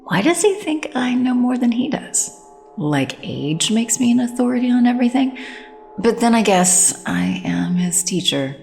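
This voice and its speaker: An actress playing a caring, weary schoolteacher in an audio drama, with a husky voice. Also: female voice, on the high side, sing-song, moderate pace, darkish tone, faint music behind. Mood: wry. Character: caring, weary female schoolteacher looking after teenagers.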